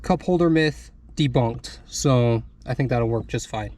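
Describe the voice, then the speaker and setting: Male vlogger, mid-pitched, easygoing DIY narration, faint background hiss. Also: measured pace. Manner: relaxed, thinking aloud with fillers. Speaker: vlogger installing a CB radio. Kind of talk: casual how-to vlog narration. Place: inside a pickup truck cab.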